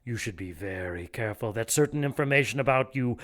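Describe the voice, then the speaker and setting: An actor playing a menacing cult villain in an audio drama, coolly polite and vengeful, with measured, pointed speech. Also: male voice, medium pitch, expressive, lightly acted. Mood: cold restraint. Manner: measured, pointed, veiled threats.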